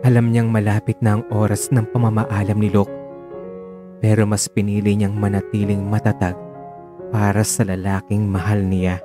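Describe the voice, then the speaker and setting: A man with a Filipino accent narrating a scripted dramatic story in a hushed, solemn way. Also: fairly low pitch, flat delivery, normal speed, over music.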